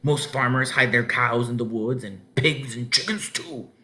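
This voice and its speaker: A man voicing a gruff, cross, bony farmer in a storybook, curt and stern. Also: medium pitch, moderate pace, pushed and bright, close to an everyday voice. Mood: angry, indignant.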